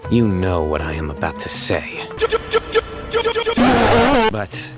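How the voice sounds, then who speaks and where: An actor playing a solemn young man in a video game, deadpan and formal with dry theatrical humor. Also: extremely low pitch, slow pace, over loud music. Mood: mock-solemn. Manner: deadpan, formal, dramatic phrasing.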